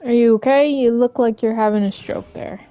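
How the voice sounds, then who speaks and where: A woman's voice: a little high, fairly even intonation, moderate pace, warm tone. A woman streaming a video game, casually commenting and dryly talking back to the characters.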